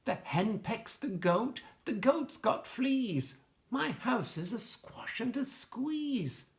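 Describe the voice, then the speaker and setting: A woman's voice: fairly high pitch, sing-song, takes it slowly, pitched above the performer's own voice, heavily acted. A woman voicing a plaintive little old lady exclaiming in rhyme from a children's storybook. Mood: exasperated.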